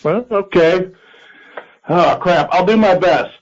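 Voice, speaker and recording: A man's voice: high voice, takes it slowly. A middle-aged American man talking casually as he answers questions on a phone call to a radio show. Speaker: called contestant, a father.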